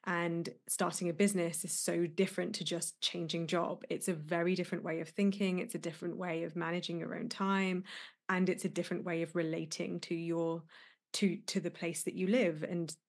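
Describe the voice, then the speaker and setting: A woman hosts a podcast, interviewing her guest in a slightly low voice. She reflects at length and speaks in an encouraging, supportive way. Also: level tone, normal speed. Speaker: podcast host, coach.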